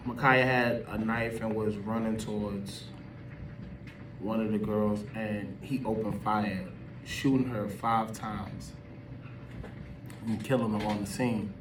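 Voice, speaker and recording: A man's voice: lowish voice, steady tone, slow, pauses often, echoey room. Man giving opinions, noisy distant mic. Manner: emphatic, slangy, conversational. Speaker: video host. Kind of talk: casual opinion commentary. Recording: distant mic with background noise.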